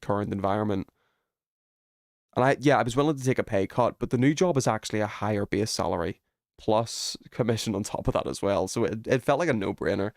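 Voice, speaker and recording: A man's voice: fairly low pitch, no room sound, clean studio sound. A man live streaming, chatting casually and thinking aloud as he plays a video game. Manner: informal, thinking aloud while working out puzzles. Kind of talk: casual chat while playing a video game.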